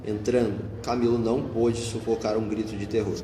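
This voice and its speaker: A man's voice: on the low side, flat delivery, normal speed, mellow, a little hiss or hum. A Brazilian man narrating a scripted radio drama. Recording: echoey hall, long reverb, music bed. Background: music.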